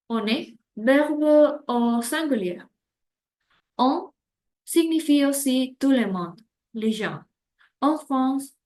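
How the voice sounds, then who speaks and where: A woman teaching French grammar, slowly reading out example phrases in a scripted lesson. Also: fairly high pitch, a little mellow.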